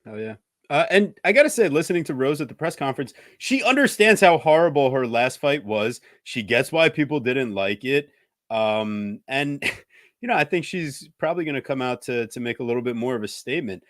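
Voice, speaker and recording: A man co-hosting a podcast, calmly analyzing a fighter's chances and betting odds. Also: medium pitch, a little fast, no room sound, clean studio sound. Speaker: podcast co-host. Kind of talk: casual sports betting chat.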